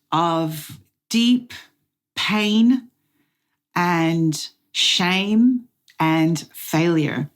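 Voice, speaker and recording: A middle-aged woman giving a testimony sermon as guest speaker, slow, deliberate and earnest, with dramatic pauses. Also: medium pitch. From Australia.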